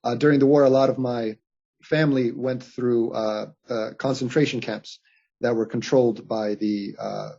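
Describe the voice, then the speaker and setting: A man in his late twenties from Bosnia speaks as a podcast guest, earnest and halting. His voice is husky and a bit breathy. The audio is dull and lo-fi. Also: mid-range voice, fairly even intonation, mellow. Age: late 20s. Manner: earnest, halting with many fillers and restarts. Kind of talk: reflective interview conversation.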